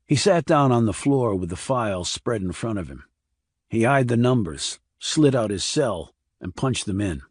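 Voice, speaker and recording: Male narrator for crime thriller audiobook, lowish register, measured scripted read, very dry studio-like sound. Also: lively intonation, breathy edge, dry recording.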